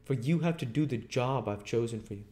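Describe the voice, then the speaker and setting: A man's voice: mid-range voice, a little fast, warm tone, voice pushed up, lightly acted. A man voicing a desperate, domineering invisible man in a storybook, commanding, insistent and well-spoken. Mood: menacing.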